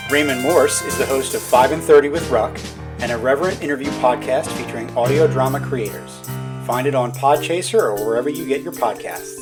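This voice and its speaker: A man's voice: medium pitch, fairly quick. A man delivering scripted closing credits as an announcer. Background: music with guitar.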